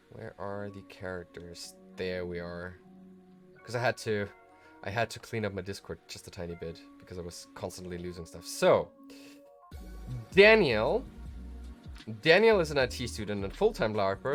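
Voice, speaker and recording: A man with a fairly deep voice hosts a casual livestream tutorial over faint music. He sounds tired, thinks aloud and rambles, often putting himself down in an offhand way. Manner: rambling, self-deprecating. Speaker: livestream host.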